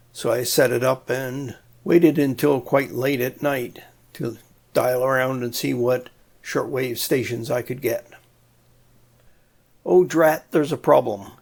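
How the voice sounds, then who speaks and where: A man's voice: mid-range voice, fairly even intonation, unhurried, creaky. A man narrating a DIY electronics explainer in a plain-spoken, methodical and mildly folksy manner. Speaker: electronics hobbyist presenter.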